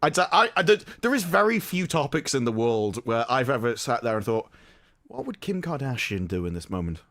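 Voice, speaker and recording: A man's voice: mid-range voice, very animated, fairly quick, fairly bright tone, no echo, studio quality. A man, by his words, co-hosting a casual livestream chat, ranting sarcastically and acting out mock quotes. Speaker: co-host.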